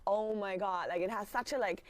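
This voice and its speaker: A woman's voice: medium pitch, normal speed, no room sound. A young woman from Austria, talking in a casual interview and speaking hesitantly with many fillers and restarts. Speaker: studio guest, circus performer.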